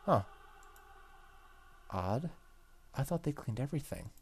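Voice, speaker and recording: A man's voice: medium pitch, expressive, slow pace, slight background noise. A man hosting a gaming video, reading the story aloud and dropping in casual reactions.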